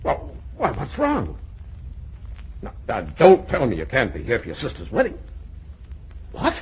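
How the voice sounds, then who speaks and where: Blustering radio-play father, middle-aged, sarcastic and husky, very animated delivery. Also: high-pitched, full character voice. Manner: blustery, theatrical, dryly sarcastic. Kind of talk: old-time radio comedy acting.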